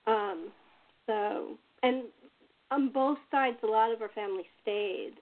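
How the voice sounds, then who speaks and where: A woman hosting a casual chat show, speaking informally with guests. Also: mid-range voice. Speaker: host. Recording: thin, phone-like line.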